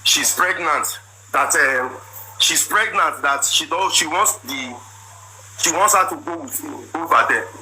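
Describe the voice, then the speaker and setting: A man in his thirties with a Nigerian accent, telling his side of a marriage dispute in a loud, forceful, repetitive way. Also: on the high side, measured pace. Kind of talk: emotional personal account. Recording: noisy background.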